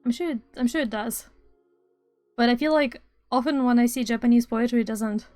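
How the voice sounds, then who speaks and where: A young woman from Estonia streams casual chat with a smooth, clear, fairly high voice. She rambles along with dry sarcastic asides into a close, dry-sounding mic. Faint music plays under her. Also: fairly quick, warm tone, no echo.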